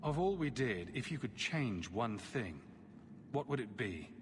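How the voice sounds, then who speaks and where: An actor playing a warm, wry hero and protective brother in a fantasy video game. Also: male voice, mid-range voice, sing-song, unhurried. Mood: wry, gentle. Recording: echoing space, noisy ambience.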